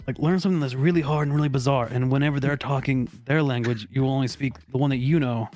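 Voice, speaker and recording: Male advice-show host, mid-pitched, casual and mock-serious, swears freely, music in the background. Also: fairly even intonation, quick pace, darkish tone. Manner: profane, blunt, mock-serious. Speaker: host of a comedy advice segment. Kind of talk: casual, joking group chat and reading aloud.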